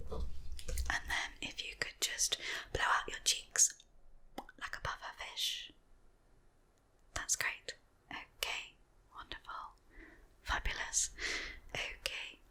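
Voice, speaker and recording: A woman whispering softly and gently through an ASMR medical exam roleplay, reassuring the listener as her patient. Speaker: ASMR creator playing a doctor.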